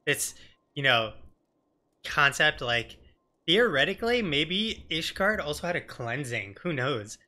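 Bright-voiced man doing game commentary. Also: a little high, sing-song, unhurried. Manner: joking, rambling. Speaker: streamer. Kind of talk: casual let's play commentary.

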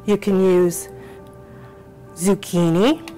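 Slow, step-by-step woman cooking host. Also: lowish voice, fairly even intonation, dark tone, faint music behind. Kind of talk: home cooking demonstration. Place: small home kitchen.

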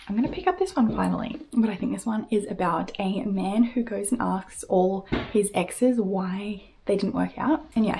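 A woman's voice: mid-range voice, gravelly. Young woman talking books to her camera at home, chatty n upbeat, bit of faint hiss behind. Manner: chatty, upbeat, quick asides. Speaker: book vlogger. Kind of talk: casual chat to camera. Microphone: camera on desk at arm's length.

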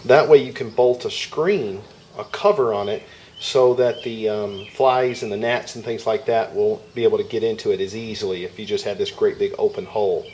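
A man with a lowish voice explains each step in a folksy, casual way while working with his hands. He is outdoors in a yard, picked up by the camera's own mic. Faint outdoor sound sits behind him. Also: steady tone, moderate pace, a little mellow, slight background noise. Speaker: homesteading video host.

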